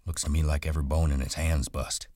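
Casting: male reader as gruff, fair, commanding ranch man, very low voice, blunt rural talk, audiobook. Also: little pitch movement, quick pace, dark tone, no echo. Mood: grave.

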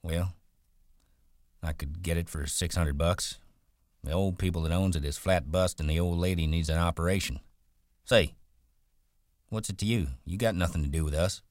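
A man voicing a ranch worker who talks in a rustic drawl, brisk and rolling. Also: sits low, no room sound, studio quality, close to an everyday voice. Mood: suspicious. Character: wiry male ranch worker, guarded and dreaming.

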